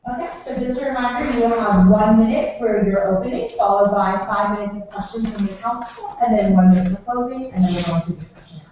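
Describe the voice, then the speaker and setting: A young woman chairing a student council meeting, running votes and agendas in a brisk, procedural way. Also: mid-range voice, a little mellow, far from the mic, a little hiss or hum. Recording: dull, echoey room from afar.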